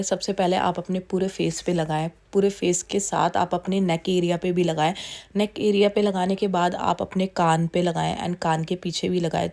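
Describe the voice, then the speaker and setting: A young woman from India, hoarse with a heavy voice from a sore throat, chatting her way step by step through a skincare routine at home. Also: mid-range voice, a little mellow. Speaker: beauty vlogger. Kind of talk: casual skincare tutorial chat. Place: indoors at home.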